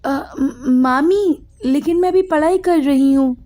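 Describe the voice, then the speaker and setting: A woman's voice: high-pitched, slow pace, mellow, pitched above the performer's own voice. A woman voicing a meek orphaned young girl who speaks in a timid, pleading way in a moral story.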